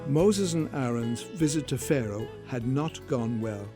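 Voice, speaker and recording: A man's voice: mid-range voice, lively intonation, unhurried. A man reading scripted narration for a story, in the role of narrator. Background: guitar music.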